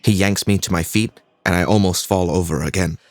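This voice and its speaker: An actor playing an earnest young fighter narrating his own story in a measured way, with a Singaporean accent. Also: sits low, no echo, lightly acted. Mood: reflective. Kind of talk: scripted first-person audio drama narration.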